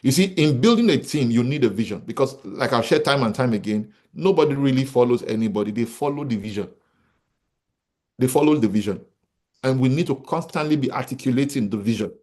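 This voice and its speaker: A man's voice: mid-range voice, expressive, a little fast, a little mellow. Emphatic Nigerian man giving a business talk. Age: 40s. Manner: emphatic, repeats key points, asks the audience rhetorical questions. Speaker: real estate company founder speaking to an audience.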